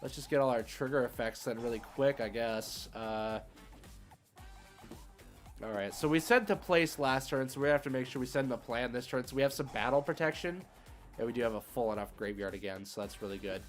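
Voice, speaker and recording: A man's voice: mid-range voice, brisk. Easygoing male game streamer over music. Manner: thinking aloud, easygoing and hesitant. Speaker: livestream host and card game player. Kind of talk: casual live game stream commentary.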